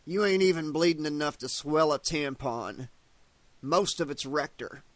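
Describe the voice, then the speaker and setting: A hulking male soldier, as a male narrator puts him on, blunt and crude, with a dry, matter-of-fact delivery. The voice sits a little high for a man and is recorded close, with no room sound. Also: measured pace, no echo, close to an everyday voice. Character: huge gruff male strike-team soldier. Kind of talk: audiobook character voice.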